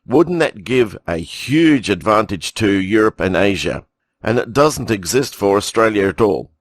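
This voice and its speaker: Guy reading news slowly, lowish voice, dry close sound. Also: dry recording. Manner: measured, reading with brief asides. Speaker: news presenter. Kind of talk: read news bulletin.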